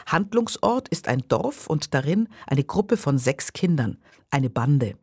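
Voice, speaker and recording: A radio presenter reading a scripted introduction to a literary work in a husky voice. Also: medium pitch, sing-song, unhurried, a little mellow, no room sound, studio quality.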